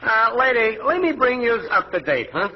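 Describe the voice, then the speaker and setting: 1940s radio comedy soda clerk: very high voice, slangy, pseudo-formal, stubborn; muffled, heavily noisy recording. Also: male voice, sing-song, moderate pace. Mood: pompous explaining. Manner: mangles words and spells them out, pseudo-formal. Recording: noisy, dull old radio recording with clicks.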